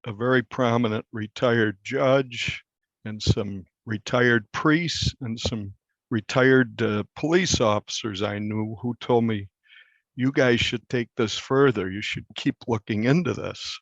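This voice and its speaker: An American man in his sixties, a newspaper reporter, speaking conversationally and earnestly in an interview, in measured phrases. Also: medium pitch, dry recording, lo-fi sound. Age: 60s.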